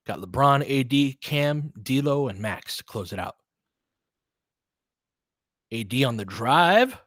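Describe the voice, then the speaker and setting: A man's voice: mid-range voice, dry recording, clean studio sound. A man hosting live basketball play-by-play in short, clipped phrases, openly cheering for his team. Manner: clipped, fragmentary play calls. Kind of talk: live fan-side play-by-play commentary.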